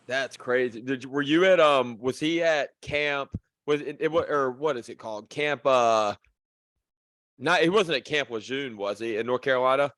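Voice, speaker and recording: A young man hosts a podcast in an upbeat, loose way, quick to hype his guest. The recording is dry and close, with almost no room sound. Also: medium pitch, fairly bright tone, no echo. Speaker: podcast host. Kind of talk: casual chat.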